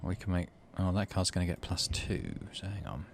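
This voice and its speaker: A man's voice: deep voice, fairly quick, creaky, a little hiss or hum. A middle-aged man hosting a let's play, reading game text expressively and making casual muttered asides to himself. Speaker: gaming channel host.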